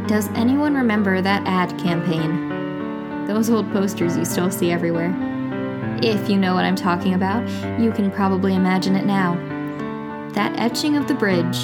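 A woman's voice: medium pitch, natural voice. A woman playing a wry, reflective watchtower broadcaster in an audio drama, narrating in an intimate scripted style. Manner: reflective, dryly humorous.

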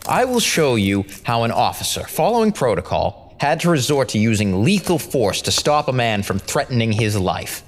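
A man's voice: mid-range voice, very animated, moderate pace, hardly any pauses, natural voice. A man playing a composed defense attorney in an audio drama, speaking in a formal, persuasive way. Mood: measured, persuasive. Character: composed male defense attorney pleading to a jury. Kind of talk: audio drama acting, formal courtroom speech. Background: music.

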